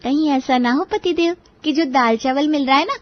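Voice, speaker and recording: Woman voicing a shrill, domineering young wife. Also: normal speed, no echo, highs cut off, natural voice. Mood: bossy, threatening. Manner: hectoring, mocking. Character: cunning, domineering younger daughter-in-law, exasperated wife. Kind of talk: Hindi family cartoon voice acting.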